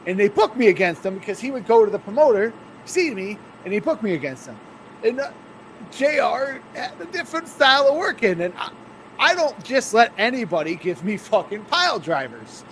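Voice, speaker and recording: A man's voice: high voice, a bit bright, slight background noise, rough recording. A man, a wrestler co-hosting a podcast, telling profane stories and laughing often. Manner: laughing, swearing storyteller. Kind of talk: casual profane chat.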